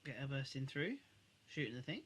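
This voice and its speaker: A man co-hosts a podcast with a bright, forward voice. His pitch moves around a lot as he chats informally. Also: expressive, fairly quick, breathy edge. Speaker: podcast co-host. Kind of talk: casual chat.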